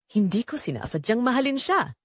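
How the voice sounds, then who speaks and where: A woman plays a guilty middle-aged mother in an audio drama. She pleads with emotion, her pitch rising and falling widely. The sound is dry, without room echo. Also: sing-song, a little fast. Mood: guilty, defensive.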